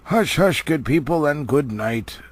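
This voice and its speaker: Kindly old wizard played by a male reader, measured and cautioning, husky storybook voice. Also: a little high, animated, voice pushed up. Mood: gently cautioning. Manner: hushing, measured. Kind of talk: storybook character voice.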